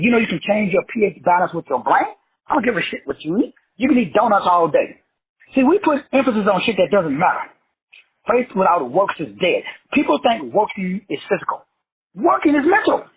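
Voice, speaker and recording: A middle-aged man lecturing a class with fervent, preachy energy and plenty of swearing. His voice sits high and swings widely in pitch, with a very raspy, husky edge. The sound is muffled and lo-fi. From South Texas. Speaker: motivational teacher.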